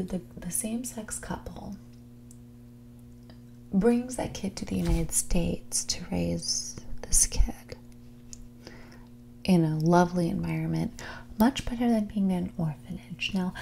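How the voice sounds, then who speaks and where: A woman playing a mean, snobby rich young woman in a whispered and soft-spoken ASMR roleplay, talking in a breathy, condescending, bratty way. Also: mid-range voice, slight background noise. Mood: sarcastic explaining. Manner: condescending, bratty, dismissive, drawn-out casual phrasing. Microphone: close ASMR microphone.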